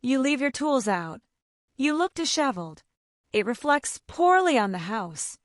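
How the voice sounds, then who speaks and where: A woman's voice: high-pitched, very animated, a little slow, dry recording, voice pushed up. A stern, curt young heir, voiced by a woman narrator. He delivers short, disdainful orders with clipped coldness. The sound is dry and close-miked.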